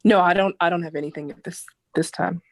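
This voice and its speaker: A woman's voice: lowish voice, fairly even intonation, fast, no room sound. A woman speaks as an attorney advising a formal meeting on a video call. Her delivery is precise and explanatory, and the sound is dry with little room in it.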